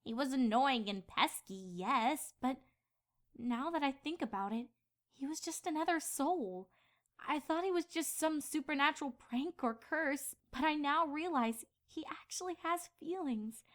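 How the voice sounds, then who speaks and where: A woman as high-voiced earnest young pony princess. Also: expressive, a little slow. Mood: troubled, regretful. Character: young female pony princess, earnest, flustered, easily exasperated. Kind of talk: fan fiction audio drama acting.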